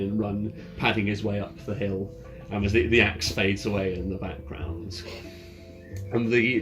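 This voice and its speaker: A man narrating a tabletop roleplaying game as game master, setting scenes in a halting, descriptive way. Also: on the low side, rough recording. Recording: ambient music behind the voice. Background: ambient background music.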